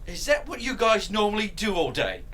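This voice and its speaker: A performer as a bright, fussy comedy man. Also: medium pitch, sing-song, moderate pace, a little hiss or hum. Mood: shocked. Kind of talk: comedy script table read.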